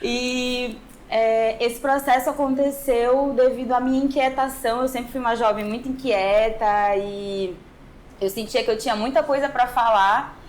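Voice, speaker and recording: A woman's voice: fairly high pitch, steady tone, moderate pace. A young woman from Manaus with a northern Brazilian accent, talking informally and reflectively as a podcast guest about her own experience. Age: young adult. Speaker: podcast guest, musical artist. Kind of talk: conversational personal storytelling in an interview. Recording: clear background noise.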